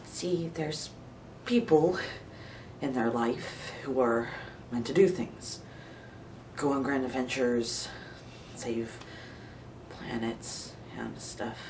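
A man's voice: mid-range voice, slow, long pauses, a little mellow. A man acting an earnest, self-doubting, intellectual nerd with a hesitant delivery in an audition monologue. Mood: earnest, resigned. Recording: echoey room, distant mic, faint noise.

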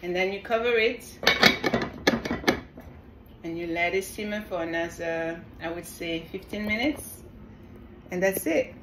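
Woman, middle-aged, French West African accent, slow encouraging cooking-vlog delivery, heavy frying noise behind her. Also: on the low side. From Senegal, grew up in the south of France. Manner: encouraging, addresses viewers affectionately. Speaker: vlogger presenting a home cooking demonstration. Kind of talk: casual instructional cooking vlog. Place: small home kitchen, indoors. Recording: loud sizzling and stirring kitchen noise. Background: frying, sizzling, stirring.

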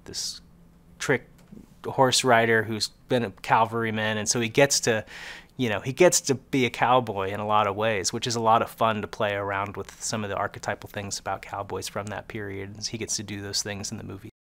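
A man's voice: lowish voice, level tone, brisk, a bit bright. A man in his thirties giving an earnest press interview, explaining steadily with frequent fillers. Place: film set interview, indoors.

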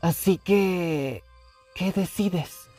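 An actor plays a menacing cartoon fox who leads rebels. The delivery is slow, drawn-out and mock-polite, with sarcasm throughout and faint music underneath. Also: mid-range voice, dark tone, slightly rough. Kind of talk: animated series fan dub.

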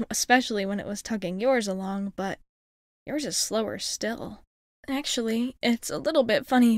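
Woman playing a shy, higher-voiced alien girl. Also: dry recording, studio quality, natural voice. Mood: playful, chatty. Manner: hesitant, earnest, casual girlish phrasing. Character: friendly, shy young female alien crewmate. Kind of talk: ASMR roleplay monologue.

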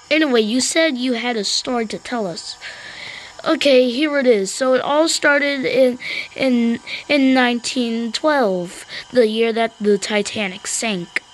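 A female storyteller with a halting, stammering delivery, improvising a tale for a train cartoon. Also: fairly high pitch, poor audio. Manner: halting, stammering, repeats and restarts words. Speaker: storyteller and host of a hobby cartoon series.

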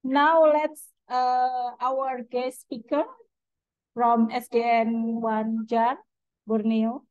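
A woman's voice: a little high, little pitch movement, drawn-out words. A woman teacher hosts a class video call, graciously thanking the guests. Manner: gracious, thanks speakers warmly. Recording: dull video-call audio.

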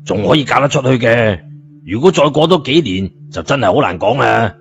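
A man's voice: mid-range voice, animated, a little fast, vocal fry, dull audio, a little hiss or hum. A man voicing a hot-headed, blunt and scornful younger brother in an audiobook, protective of his sister. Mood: coaxing. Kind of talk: audiobook character dialogue.

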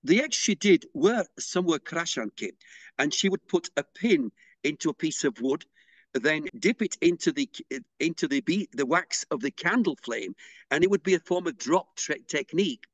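Soft-spoken man explaining a craft. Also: on the high side, expressive, moderate pace, no echo. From England. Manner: hesitant, with fillers and restarts. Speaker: pysanky artist and interviewee. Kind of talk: unhurried explanatory interview talk.

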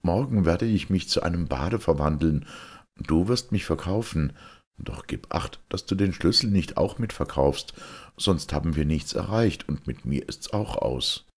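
A man's voice: sits low, lively intonation, measured pace, voice pushed up, exaggerated voice. A man voicing a cocky, scheming young sorcerer's apprentice, eager and self-assured, in a folk tale. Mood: confident, reassuring.

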